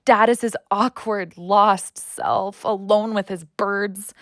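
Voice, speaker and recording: A woman's voice: mid-range voice, steady tone, slow, dry recording, clean studio sound. A woman playing an earnest, wounded young woman who is friendly and seeks connection, confiding in an audio drama. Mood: confiding, sad.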